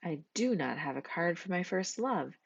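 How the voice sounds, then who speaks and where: Woman as a devoted, low-voiced gentleman goat. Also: animated, normal speed, gravelly, slightly rough, no room sound, heavily acted. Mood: earnest. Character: devoted, cheerful gentleman goat, a doting son. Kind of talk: children's storybook character voice.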